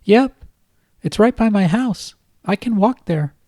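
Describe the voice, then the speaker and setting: A man voicing a young man who fakes a teenage girl's voice, babyish and high with a hint of manliness, hesitant and full of filler words. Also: expressive, a little slow, warm tone, no room sound, studio quality. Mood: coy. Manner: hesitant, lots of um and like.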